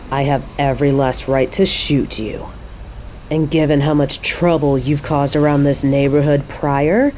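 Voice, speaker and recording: A woman's voice: sits low, warm tone, a little hiss or hum. A woman playing a fed-up, defiant mother confronting her toxic ex in a dramatic audio roleplay, scornful and emphatic. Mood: cold, threatening.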